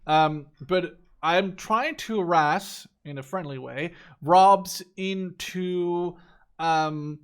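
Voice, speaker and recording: A man's voice: high-pitched, extremely slow, clean tone. A man in his twenties giving casual video game commentary in a rambling, conversational way, often correcting himself as he goes. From Netherlands. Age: 20s. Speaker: gaming video creator.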